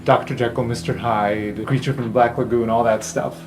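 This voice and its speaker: A man's voice: mid-range voice, steady tone, brisk. A man casually narrating his character's actions as a player in a tabletop roleplay.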